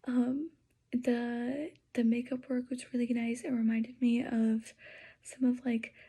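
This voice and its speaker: A woman giving a casual spoken film review as an audience member, pausing often and sprinkling in filler words. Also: fairly high pitch, level tone, dark tone, breathy edge.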